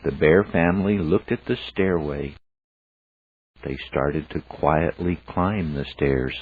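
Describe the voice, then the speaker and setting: A man's voice: rumbling low, slow pace, breathy edge, no echo. A man reading a fairy tale aloud as a scripted storyteller narration. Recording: phone-like, narrow band sound.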